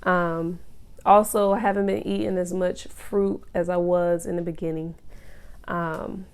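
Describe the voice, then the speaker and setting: Woman vlogger, stuffy and slightly nasal, unhurried chatty delivery with a gravelly edge. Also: lowish voice, steady tone. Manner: chatty, explaining step by step, many ums and you-knows. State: nasal from a cold. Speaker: pregnancy vlogger. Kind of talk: casual chat to camera.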